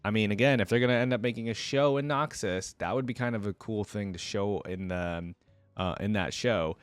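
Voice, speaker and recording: A man's voice: fairly low pitch, fairly quick, clean tone, dry recording, soft background music. A man hosting a reaction video, commenting in a casual, chatty, informal way with frequent interjections.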